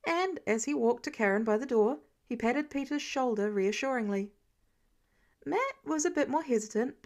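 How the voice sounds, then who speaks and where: A woman's voice: sits high, a little mellow. A woman reading scripted fan fiction narration as an audiobook-style storyteller.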